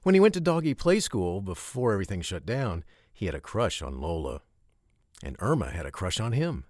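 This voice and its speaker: A man narrating a children's story from a script, with expressive, emphatic phrasing and short pauses. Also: low voice, no echo, studio quality.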